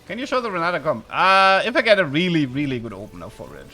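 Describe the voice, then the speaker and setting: A man from southern Germany with a German accent, a streamer chatting with viewers and commenting on his game, with sudden excited outbursts. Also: on the high side, quick pace, husky, faint music behind.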